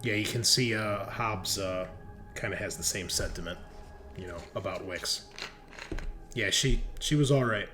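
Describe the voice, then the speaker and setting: A man's voice: fairly low pitch, measured pace, pushed and bright, faint music behind. A man running a tabletop roleplaying game as game master, talking casually and jokily.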